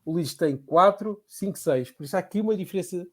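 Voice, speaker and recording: A young man with a European Portuguese accent, hosting a casual quiz among friends, briskly reading out questions and scores. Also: sits high, a loud crowd. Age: young adult. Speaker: quiz host.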